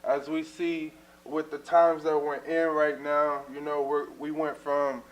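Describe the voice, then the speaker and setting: A man's voice: on the high side, little pitch movement, unhurried, low-quality recording. A man, hosting a casual church talk show as a minister, earnest and full of fillers. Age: 20s.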